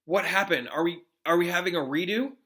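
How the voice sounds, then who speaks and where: Storybook teen wizard read by a man: dazed, anxious, slightly raised bright voice, hesitant questions. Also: high voice, brisk, voice pushed up.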